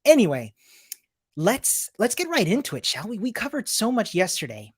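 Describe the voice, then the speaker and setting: An upbeat, chatty woman from Chile leads a live online coding class. She greets viewers warmly and explains each step clearly while sharing her screen, talking into a computer mic. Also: medium pitch, wildly swooping pitch, moderate pace. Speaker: coding instructor. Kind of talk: live-streamed coding lesson.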